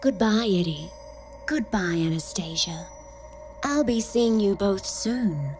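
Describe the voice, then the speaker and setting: Slow, sinister mystery figure. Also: female voice, mid-range voice, animated, darkish tone, faint music behind. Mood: ominous. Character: mysterious, shadowy, sinister woman. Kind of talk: sci-fi comedy audio drama.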